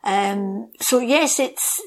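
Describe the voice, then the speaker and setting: A middle-aged woman from Scotland, co-hosting a podcast in casual, animated conversation full of ums and asides. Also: on the high side, drawn-out words. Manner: animated storytelling with frequent ums and you-knows. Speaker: podcast co-host.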